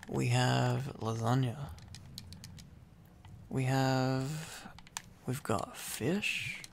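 A man's voice: medium pitch, very slow, gravelly, a little hiss or hum. A man doing a boyfriend ASMR roleplay in a playful, teasing, affectionate way, rambling intimately as a needy, silly boyfriend close to the microphone.

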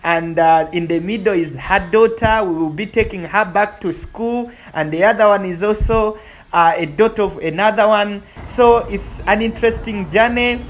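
A woman's voice: medium pitch, moderate pace. A woman with a Ugandan accent narrates a travel vlog casually, repeating phrases for emphasis. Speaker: vlogger. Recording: music under the voice. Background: music.

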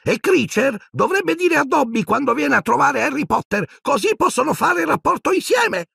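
A man voicing an eager, loyal little male house-elf with a squeaky voice in an audiobook. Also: big pitch swings, normal speed, vocal fry, pushed and bright, no echo, full character voice.

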